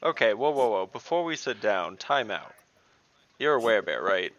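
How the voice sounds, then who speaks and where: A man's voice: lowish voice, animated, normal speed, a bit bright, lo-fi sound. A man playing an online tabletop game, talking casually and directly with lots of questions.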